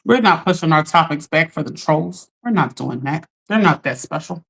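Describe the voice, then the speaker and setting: A woman's voice: moderate pace, vocal fry. A woman hosting a casual livestream, talking straight to her chat while she gets over a lost voice. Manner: chatty, talks straight to chat, animated pitch jumps. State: recovering from lost voice. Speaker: livestream host.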